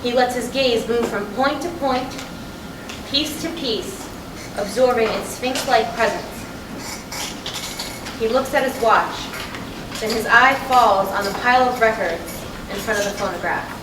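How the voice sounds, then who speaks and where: A woman's voice: fairly high pitch, a little slow, pauses often. A woman reading stage directions as scripted narration at a staged play reading. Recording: distant mic in echoey, noisy room.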